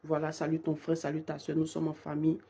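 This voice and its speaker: Woman prayer leader, hoarse from a cold; dark timbre, flat fervent repetitions. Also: deep voice, fast. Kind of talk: live-streamed morning prayer.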